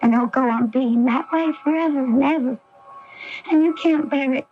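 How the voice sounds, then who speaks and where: Fragile, haunted young daughter in 1980s radio play, high childlike voice, plaintive, dull AM sound. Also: female voice, normal speed, faint music behind. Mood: despairing, haunted. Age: young adult. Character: fragile, troubled, ailing young daughter, fearful of abandonment. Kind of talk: 1980s radio drama acting. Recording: noisy, dull lo-fi AM radio recording.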